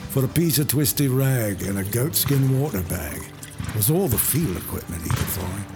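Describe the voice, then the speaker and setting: A man voicing a rough old British soldier, reciting a war poem in heavy with bellowed calls. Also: medium pitch, expressive, moderate pace, voice pushed up, close to an everyday voice. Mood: gruff recollection. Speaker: audiobook narrator. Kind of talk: dramatic poetry reading. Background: music.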